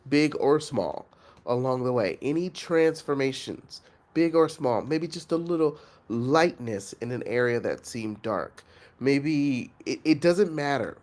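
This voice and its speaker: A man hosting a coaching challenge, speaking earnestly and reflectively with pauses and fillers, his voice nasal from congestion. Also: medium pitch. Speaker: host and facilitator of an online coaching challenge. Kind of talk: motivational coaching talk.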